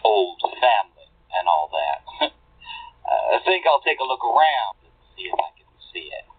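A man's voice: fairly low pitch, big pitch swings, moderate pace, breathy edge, phone-quality sound, heavily acted. A man playing a flirtatious, self-important small-town mayor in a radio play, with a folksy, smug manner. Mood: evasive.

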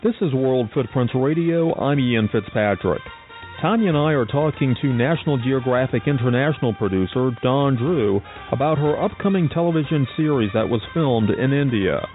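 A man hosting a radio show, reading a smooth, announcer-like station break. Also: medium pitch, animated, moderate pace. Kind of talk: scripted radio host read. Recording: thin lo-fi radio audio, faint music.

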